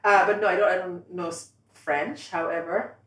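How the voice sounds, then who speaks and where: A woman's voice: fairly low pitch, steady tone, moderate pace, a bit bright. A woman with a Swahili-influenced accent, who grew up speaking Swahili, interviewing a guest in casual conversation with lots of encouraging agreement. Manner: chatty, encouraging, many agreeing fillers. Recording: roomy, mic at a distance.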